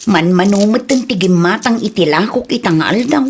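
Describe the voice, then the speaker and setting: A woman's voice: medium pitch, lively intonation, normal speed, slight background noise, natural voice. A woman playing a stressed, defensive young food vendor in a comedy drama, with an Ilocano accent and plaintive, insistent delivery.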